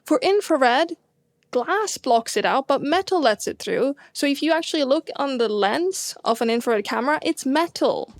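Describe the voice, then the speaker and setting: A woman's voice: high voice, lively intonation, normal speed, dry recording. Chatty Swedish-accented woman, dry sound. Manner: enthusiastic, many fillers and restarts. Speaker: co-host, astronomer. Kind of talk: casual science chat.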